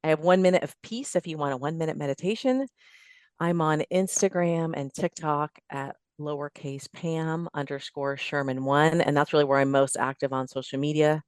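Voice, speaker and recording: Middle aged woman trainer on a podcast, smooth clear voice, emphatic plain advice, dry sound. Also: sits low, normal speed, a little mellow, no echo. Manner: emphatic, plain-spoken encouragement, builds points in lists. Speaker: fitness trainer guest. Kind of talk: casual interview chat giving advice.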